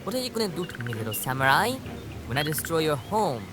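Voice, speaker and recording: A woman's voice: sits low, big pitch swings, quick pace, over loud music. A woman voicing a child playmate who acts as a taunting villain in an audio drama. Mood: mock menace.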